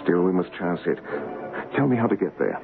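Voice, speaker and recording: A man acting a bold, courtly gentleman posing as a king in a 1970s radio drama, composed and measured. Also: low-pitched, sing-song, creaky, exaggerated voice. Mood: composed, firm. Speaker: actor and first-person narrator. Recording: dull old radio broadcast with music.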